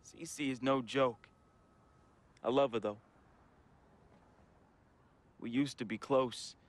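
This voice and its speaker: A man's voice: medium pitch, steady tone, slow pace, natural voice. An actor playing a troubled young man in a game, reading a confessional farewell letter as a heartfelt voice-over with casual slang. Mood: somber. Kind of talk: emotional voice-over monologue. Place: studio voice-over.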